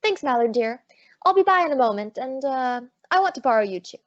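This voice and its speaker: A woman playing a sassy, quick-witted female private detective in an old-time radio drama, wry and brisk. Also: high voice, lively intonation, dry recording. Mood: brisk, confident. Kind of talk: old-time radio drama acting with first-person narration. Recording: dull lo-fi audio.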